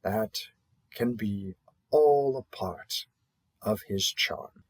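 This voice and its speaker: Man playing a husky, unhurried cheerful matchmaking host. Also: fairly low pitch, no room sound. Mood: wry, diplomatic. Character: cheerful male dating-app host presenting monster matches. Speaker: matchmaking announcer. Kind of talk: scripted roleplay audio narration.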